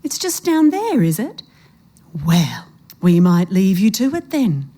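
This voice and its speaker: A woman's voice: medium pitch, wildly swooping pitch, mellow, heavily acted. A woman reading the part of a rattled man in a story who bluffs and falters as he loses his nerve. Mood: bluffing, uneasy. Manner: halting, faltering.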